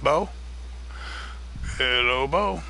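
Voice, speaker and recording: A man hosting a live stream of a multiplayer farming game, chatting casually and greeting viewers by name, his voice getting a little hoarse. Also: medium pitch, lively intonation, very slow, pushed and bright, a little hiss or hum, poor audio. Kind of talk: casual live-stream chat while gaming.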